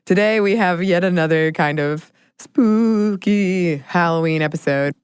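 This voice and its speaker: A woman with a low voice co-hosts a podcast, chatting casually and laughing often. Her voice sometimes sinks into a quiet murmur. The recording is dry and close, with no room sound. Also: measured pace, smooth, no room sound. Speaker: podcast co-host.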